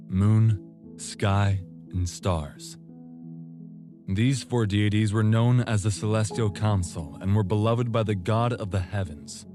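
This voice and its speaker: A grave storyteller, played by a man, recounts an ancient legend in a fairly low voice. His narration is solemn and measured, with music clearly underneath, in the manner of a fantasy audio drama. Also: close to an everyday voice.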